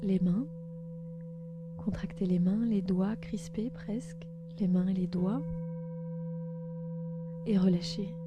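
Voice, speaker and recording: Female meditation guide, calm and gentle, mid-pitched, spaced phrasing, music underneath. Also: dull audio. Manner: calm, gentle, slow instructions with pauses. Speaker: podcast host and yoga nidra guide.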